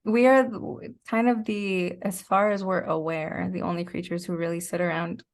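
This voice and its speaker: Female podcast guest, lowish gravelly voice with vocal fry, explanatory and conversational, a natural storyteller. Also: level tone, moderate pace.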